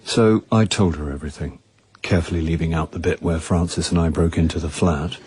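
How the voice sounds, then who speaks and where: Radio drama: male actor as deep-voiced British amateur detective, middle-aged, weary, dryly exasperated. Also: fairly even intonation, a little fast, darkish tone, natural voice. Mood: wry narrating. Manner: dry, exasperated. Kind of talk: radio drama acting and first-person narration.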